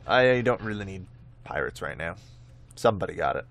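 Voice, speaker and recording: A man's voice: deep voice, big pitch swings, moderate pace, gravelly, noisy background. A man giving casual gameplay commentary, thinking aloud in a rambling way and often correcting himself. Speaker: host of a gameplay video.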